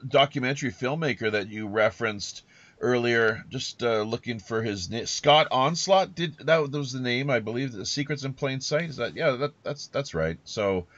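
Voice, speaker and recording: Male podcast host, dry muffled audio, rambling, halting, wordy, mid-pitched voice, casual interview chat. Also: fairly bright tone, dry recording.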